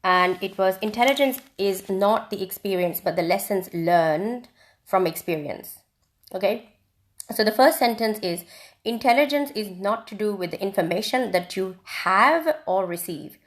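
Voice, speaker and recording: A woman's voice: medium pitch, lively intonation, unhurried. A woman from India teaching on a live video stream in a reflective, casual way, with frequent ums and restarts. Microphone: phone or webcam on a live video stream.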